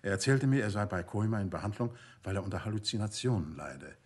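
An actor playing a composed, courteous and urbane detective in a vintage radio play, speaking conversationally with a slightly husky voice. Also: male voice, on the low side, animated, quick pace. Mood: matter-of-fact.

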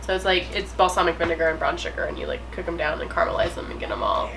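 A young woman with a fairly low voice for a woman talks cheerfully about her cooking plans in a home kitchen. She laughs easily and explains things in a relaxed, chatty way. Chopping and kitchen noise can be heard clearly behind her. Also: rapid-fire. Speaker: homestead vlog co-host. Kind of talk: casual chat while cooking.

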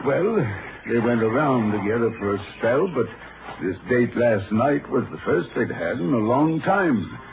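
A man's voice: medium pitch, lively intonation, measured pace, gravelly. Wealthy middle-aged rancher, anxious father, 1950s radio drama, thin hissy sound. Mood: subdued, polite. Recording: noisy, tinny 1950s radio recording.

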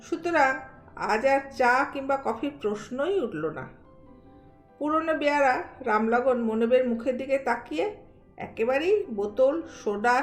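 A woman storyteller reading a literary story as expressive scripted narration. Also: sits high. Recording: roomy echo.

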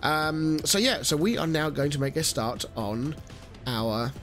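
A man's voice: a little high, expressive, unhurried, fairly bright tone, faint music behind. A man in his thirties with a nasal, stuffy voice from a cold, rambling chattily through a let's-play with plenty of fillers. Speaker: gaming channel host. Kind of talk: casual let's-play commentary.

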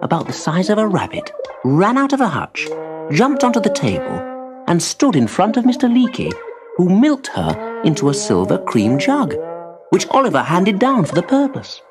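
A man reading a children's story as an animated narrator. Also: high voice, moderate pace, over music. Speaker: storybook reader.

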